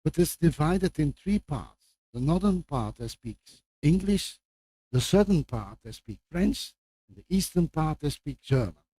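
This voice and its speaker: An older man from Belgium, a native Flemish speaker with a Flemish accent, gives an informal lecture introduction as a visiting professor in a halting way, with repeated words and asides. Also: on the high side, expressive, dark tone, poor audio. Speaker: guest lecturer, medical professor.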